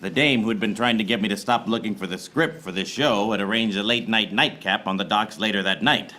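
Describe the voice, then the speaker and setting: Male actor as hard-boiled private eye, wry radio-play narration, low pitch, some background noise and clicks from live stage. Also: brisk. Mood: weary. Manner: deadpan wordplay, theatrical. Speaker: lead actor in live comedy radio serial. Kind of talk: film noir detective narration, radio play parody. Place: small theater stage, live audience.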